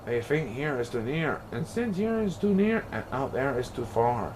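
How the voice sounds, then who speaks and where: A man reading a rhyming children's story aloud in an expressive, playful, sing-song way. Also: mid-range voice, moderate pace, background noise. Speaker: picture book reader.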